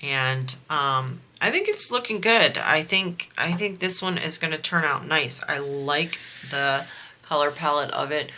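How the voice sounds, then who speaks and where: A woman's voice: sits low, measured pace, sharp tone. A woman from the US East Coast with a nasal voice, chatting in a rambling way while she works on a craft project for her vlog. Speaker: crafting vlogger. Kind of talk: casual chat while diamond painting.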